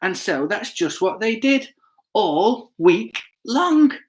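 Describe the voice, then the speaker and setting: A man reading a children's story aloud with chatty asides, playful and expressive, often putting questions to his listeners. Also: sits high, slow pace, slight background noise. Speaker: children's bedtime story reader.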